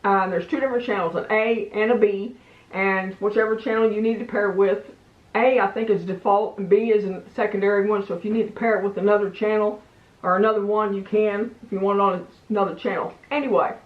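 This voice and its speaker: A woman's voice: medium pitch, fairly even intonation, brisk. A woman giving a casual hands-on product review in a plain-spoken, folksy, meandering way. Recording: echoey room, slightly muffled sound.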